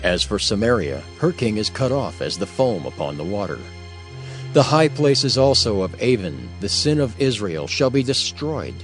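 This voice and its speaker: A man narrating a dramatized reading of King James scripture in a solemn, measured manner. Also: fairly low pitch, big pitch swings, soft background music.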